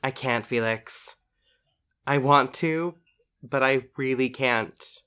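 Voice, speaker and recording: A man's voice: mid-range voice, slow, sharp tone, smooth. A man playing a petulant, dramatic superhero playwright in an audio drama. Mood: sulky, petulant. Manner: petulant, melodramatic. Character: sulky, dramatic fired superhero playwright, male.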